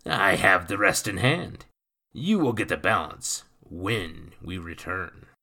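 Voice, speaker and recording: A man voicing a roguish, cocky male thief who talks in a gravelly, swaggering, ungrammatical like dialect. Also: sits low, big pitch swings, slow pace, bright, forceful tone, exaggerated voice.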